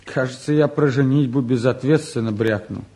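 An actor playing a restless, cheeky and candid teenage son in a 1950s Soviet radio play, talking in an impulsive, colloquial way. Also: male voice, medium pitch, normal speed, warm tone, slightly rough. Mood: wry, confessional.

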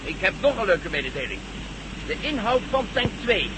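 A man's voice: sits high, very animated, moderate pace. A man playing a cocky, sardonic pilot in a science-fiction radio play, wry and emphatic. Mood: sardonic, defiant. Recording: noisy old radio play, aircraft drone.

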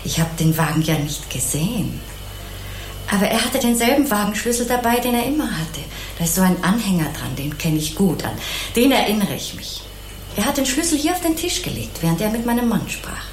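An actress playing a kindly, naive, chatty housewife in an obliging, rambling way in a German crime radio play. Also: female voice, mid-range voice, very animated, brisk, echoey room, faint music behind, lightly acted. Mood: earnest recollection. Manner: obliging, artless, rambling, sympathetic.

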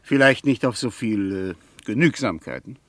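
A man's voice: on the low side, animated, normal speed, a bit bright, dry recording. An actor playing a pragmatic, sardonic man debating in a radio play, conversational and matter-of-fact, often tagging on questions.

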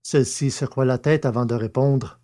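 A man's voice: mid-range voice, steady tone, moderate pace, warm tone. A man narrating an audio fiction story, reading scripted prose as a storyteller.

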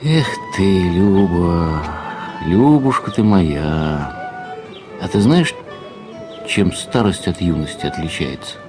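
Tired ageing husband in a radio play, low voice, sad n musing, bit of music under. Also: male voice, expressive, takes it slowly. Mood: musing, melancholy. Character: weary, melancholy ageing academic husband, lonely dreamer. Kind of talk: audio drama acting.

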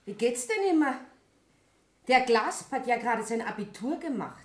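Actress as high-voiced Bavarian tavern landlady, roomy sound. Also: lively intonation, normal speed, close to an everyday voice. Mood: chatty. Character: Bavarian tavern landlady, bossy yet evasive. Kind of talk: 1960s German radio drama acting.

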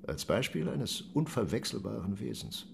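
An actor playing a reflective Ottoman envoy in a radio drama monologue, telling of travels in an expressive, deliberate, literary way, marvelling yet troubled. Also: lowish voice, creaky, noisy background, full character voice. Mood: fascinated, intense.

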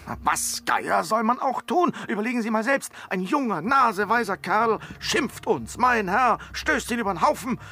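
An actor playing a talkative, bumbling manservant who stammers and blusters theatrically through invented lies in a radio play. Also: male voice, high voice, lively intonation, moderate pace, few pauses, pushed and bright, exaggerated voice. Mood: flustered, blustering. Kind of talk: German radio play acting of classic comedy.

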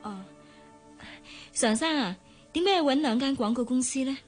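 A young private secretary in a radio drama, with a high, earnest voice. She is polite and mild, with a Hong Kong accent. Music is clearly heard behind her. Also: normal speed. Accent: Hong Kong Cantonese.

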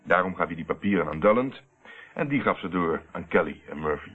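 An actor playing a self-assured private investigator explaining a case in a Dutch radio play, on an old narrow-band recording. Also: male voice, low voice, lively intonation, fairly quick, husky. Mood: explanatory, assured.

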